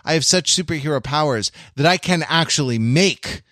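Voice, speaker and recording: A man co-hosting a podcast in casual discussion, speaking in a hesitant, halting, bookish manner. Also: fairly high pitch, a bit bright, no room sound, clean studio sound. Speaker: podcast host.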